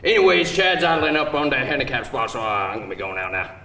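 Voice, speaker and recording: A man playing an absurd, showy jilted boyfriend with halting, rambling, ungrammatical talk in a live table read. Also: medium pitch, big pitch swings, vocal fry, pushed and bright, full character voice. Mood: awkward, nonchalant. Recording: roomy live event, distant mic, faint noise.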